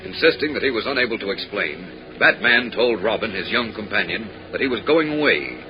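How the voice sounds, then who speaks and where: A man's voice: fairly low pitch, animated, fairly quick. A man giving dramatic scripted narration for a 1940s radio serial. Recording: dull 1940s radio recording over music. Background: loud dramatic music.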